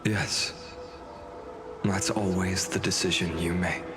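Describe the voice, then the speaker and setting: An actor playing a gentle, weary male companion in a video game, speaking solemnly in a slow, measured, soft way. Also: sits low, steady tone. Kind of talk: dramatic video game voice acting. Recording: studio voice over loud music.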